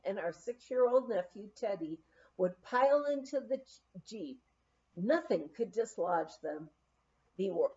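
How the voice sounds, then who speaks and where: A woman narrating a memoir as an audiobook, reading in a measured, expressive storytelling way with deliberate phrasing. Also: medium pitch, muffled recording.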